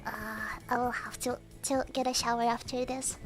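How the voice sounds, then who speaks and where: A woman's voice: a little high, completely flat delivery, normal speed. A young woman streamer with a hard-to-place mixed accent, chatting casually to her viewers with lots of fillers and laughter. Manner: talks to chat, frequent laughter and fillers. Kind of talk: casual livestream chat.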